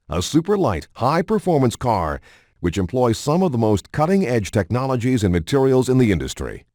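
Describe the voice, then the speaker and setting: A man reading a scripted documentary narration in a measured, polished announcer delivery. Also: medium pitch, a little mellow, no echo, studio quality.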